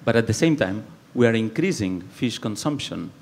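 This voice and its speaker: Catalan-accented man, low voice. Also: expressive, normal speed, gravelly, a little mellow. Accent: Catalan Spanish. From Spain, Barcelona. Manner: earnest, many fillers and restarts. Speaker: panelist, campaigner. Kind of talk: panel debate speech.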